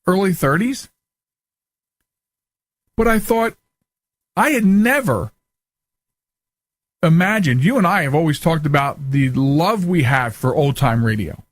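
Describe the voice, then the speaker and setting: A man's voice: on the high side, no echo. A man hosting a talk show in a casual, chatty, rambling storytelling way. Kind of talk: casual interview chat.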